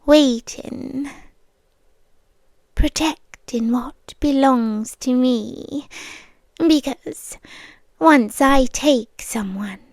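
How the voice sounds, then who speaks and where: Slow, high-voiced British vampire girlfriend, played by a woman. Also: darkish tone, dry recording, heavily acted. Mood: possessive, menacing. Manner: breathy, drawn-out, often dropping to a whisper. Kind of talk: intimate ASMR roleplay. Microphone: close ASMR microphone.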